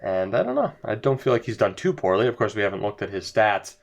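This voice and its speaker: A young man giving jokey, excitable commentary over a hockey video game, his voice worn as he loses it. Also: on the low side, quick pace, creaky. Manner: excitable, jokey, laughs often. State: losing his voice. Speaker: gaming YouTuber. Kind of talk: casual gameplay commentary.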